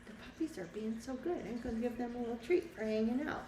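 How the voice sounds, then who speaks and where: Woman, schoolteacher, expressive children's read-aloud, simple asides, distant echoey recording, faint noise. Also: on the high side, fast talker. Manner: expressive storytelling, explains things simply to children. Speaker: elementary school teacher reading a picture book. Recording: roomy echo, distant mic, faint background noise.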